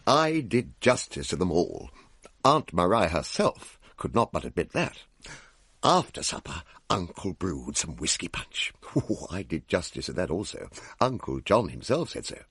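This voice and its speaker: An actor playing a pompous, aggrieved young Victorian English gentleman telling his tale theatrically in a radio drama. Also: low-pitched, sing-song, measured pace, breathy edge, no room sound, studio quality, exaggerated voice. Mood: pompous, wry. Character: pompous, aggrieved young Edwardian gentleman narrator, big eater.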